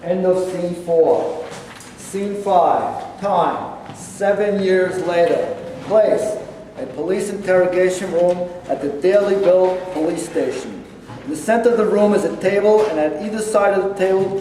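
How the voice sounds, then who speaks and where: A man reads out stage directions in a plain, even way. His voice is fairly high. It sounds distant and roomy, as if from across a hall. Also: slight background noise. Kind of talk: reading stage directions aloud. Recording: echoey hall from far off.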